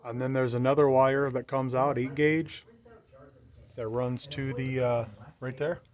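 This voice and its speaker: A man's voice: medium pitch, flat delivery, unhurried, a little mellow. Man giving a plainspoken hands-on walkthrough. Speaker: vlogger showing a vehicle radio installation. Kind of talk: casual hands-on walkthrough narration. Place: outdoors around and inside a parked truck.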